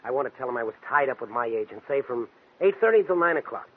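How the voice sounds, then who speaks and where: An actor playing a smooth, coldly controlled, scheming man in a 1940s radio drama. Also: mid-range voice, animated, quick pace, natural voice. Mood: smooth, pressuring. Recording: muffled, tinny vintage 1940s radio recording.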